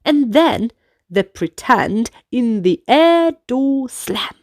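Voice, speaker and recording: A woman's voice: fairly high pitch, animated, slow, dry recording, studio quality. A woman narrating a children's storybook and guiding young listeners through dance movements in an instructive manner. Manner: instructive, clearly paced delivery for young listeners.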